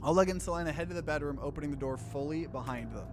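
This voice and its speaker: Man, high voice, animated gaming narration, casual asides, music in the background. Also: fast talker. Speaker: gaming let's player. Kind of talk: reading game narration aloud with casual reactions.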